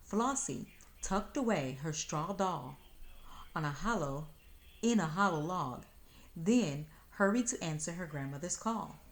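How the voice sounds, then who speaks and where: Lower-voiced woman reading a storybook. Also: expressive, a little slow. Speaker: storybook reader. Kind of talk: expressive scripted read-aloud narration.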